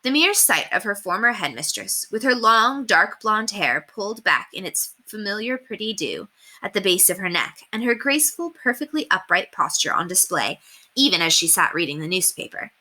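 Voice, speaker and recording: A woman reading a children's novel aloud in an expressive, carefully enunciated storytelling manner. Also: medium pitch, normal speed. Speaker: teacher reading a book aloud.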